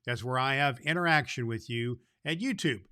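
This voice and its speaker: A man playing a kindly old Santa Claus, hosting a children's Christmas show in a folksy, deliberate storyteller's manner. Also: medium pitch, bright, forceful tone, smooth, no echo, clean studio sound, lightly acted. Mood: friendly, inviting. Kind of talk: scripted announcements and storybook reading for children.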